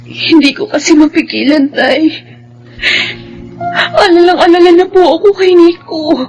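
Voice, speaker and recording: A devoted young wife in a radio melodrama. She has a high voice and a tender, pleading way of talking, with faint music behind her. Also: unhurried, darkish tone, muffled recording. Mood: tearful, worried. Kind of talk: Filipino radio drama acting.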